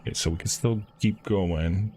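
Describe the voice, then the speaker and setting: A man chatting casually and enthusiastically while livestreaming a video game, rambling quickly. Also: low-pitched, steady tone, dark tone, slight background noise. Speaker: streamer. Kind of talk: casual gaming livestream chat.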